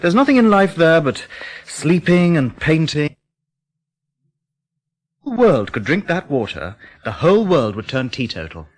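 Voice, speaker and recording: Very expressive, genial, uneasy man. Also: on the high side, unhurried, pauses often. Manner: conversational, expressive storytelling. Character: genial, uneasy painter lodging at a remote farm. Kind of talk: radio drama acting.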